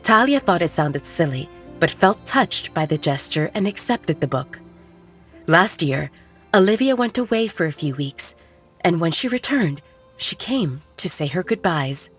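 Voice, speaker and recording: A woman reading a romance story aloud as a calm, even narrator. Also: lowish voice, measured pace, husky, faint music behind. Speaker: audiobook narrator.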